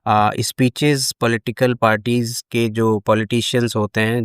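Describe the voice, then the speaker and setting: A man's voice: fairly low pitch, clear, resonant tone, no echo, clean studio sound. A man with an Indian accent giving an explanatory lecture as a teacher, switching between two languages and restating his points. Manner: repeats phrases in both languages. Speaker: electronics lecturer. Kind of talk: explanatory lecture mixing Hindi and English.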